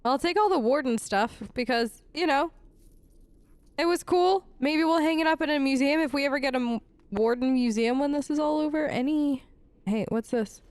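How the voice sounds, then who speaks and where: A woman's voice: high-pitched, moderate pace, no room sound. A woman recording a role-play let's play of a video game, speaking into a close microphone.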